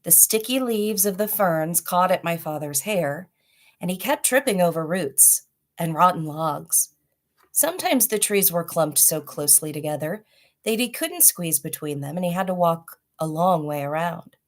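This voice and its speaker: A woman with a slightly low voice reads to children as a storybook host. Her phrasing is deliberate and dramatic, and she keeps an informal, read-aloud style. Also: expressive.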